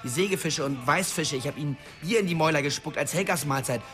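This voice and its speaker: A man's voice: a little high, fast, a bit bright, soft background music, lightly acted. An actor playing a frustrated, bitter, restless young man in a radio drama. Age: young adult.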